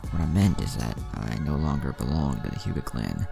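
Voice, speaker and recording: A gentle, resolute young kunoichi from a storybook reading, done by a man in his very low, creaky voice. The delivery is earnest and explanatory, with music underneath. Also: expressive, brisk, heavily acted. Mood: wistful, calm. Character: gentle, resolute teenage girl ninja. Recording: music playing behind the voice.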